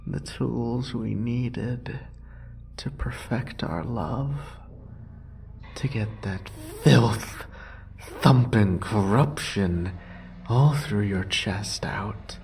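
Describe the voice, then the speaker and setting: A man playing an obsessive, murderous, possessive lover in a horror roleplay audio drama, with a breathy, gravelly voice and lingering repetitions. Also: lowish voice, a little hiss or hum. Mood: feverish, menacing. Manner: breathy whispering, drawn-out sighs, lingering repetitions.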